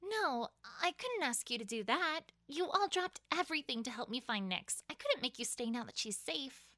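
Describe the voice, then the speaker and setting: An earnest young unicorn mare, acted by a woman in a cartoon audio drama. She is courteous and a little worn out, with a high, crisp, bright voice in a dry recording. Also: big pitch swings, normal speed, dry recording, heavily acted. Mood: grateful concern. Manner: sincere, polite.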